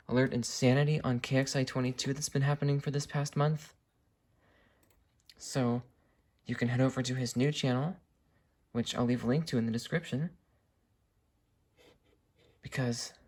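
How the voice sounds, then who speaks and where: Casual male vlogger, medium pitch, enthusiastic hobbyist talking off the cuff through a gear demo. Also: a little mellow. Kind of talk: casual unscripted demonstration.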